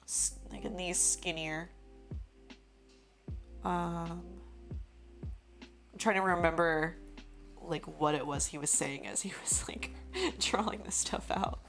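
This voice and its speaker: A young woman live-streaming while she models in 3D, musing slowly and chatting with viewers, her voice going hoarse. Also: fairly low pitch, fairly even intonation, over music. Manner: slow, musing, thinking aloud, greets chat. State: losing her voice.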